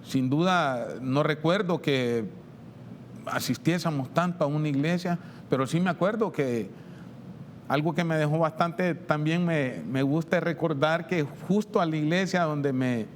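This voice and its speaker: Salvadoran man, slightly high voice, informal testimony, halting repetitions, rumbly background noise. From San Salvador, El Salvador. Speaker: guest giving a personal testimony. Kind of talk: informal personal testimony on a TV program.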